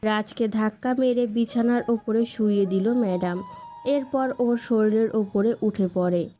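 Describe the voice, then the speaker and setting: A woman's voice: a little high, fairly even intonation, a little slow, mellow. A woman narrating a scripted romantic story as its storyteller. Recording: dull lo-fi sound, background music.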